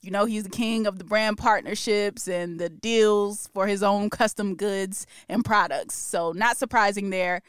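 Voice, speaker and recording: Brief: woman podcast co-host, opinionated casual chat, smooth clear tone, dry close sound. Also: medium pitch, steady tone, measured pace, few pauses, no echo. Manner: chatty, opinionated, laughs often.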